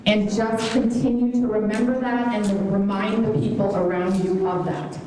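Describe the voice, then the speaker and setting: A woman's voice: mid-range voice, steady tone, background noise. Heartfelt woman emcee in a hall. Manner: slow, heartfelt, deliberate. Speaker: event emcee. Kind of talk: reading quotes aloud at a podium. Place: large hall on stage. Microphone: handheld mic. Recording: echoey hall heard from far off, crowd behind.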